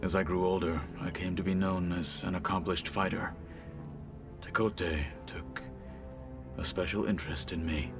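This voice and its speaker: Deep gravelly stoic warrior. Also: male voice, flat delivery, over music. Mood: solemn, guarded. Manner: formal, measured, solemn speech. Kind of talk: dramatic video game acting.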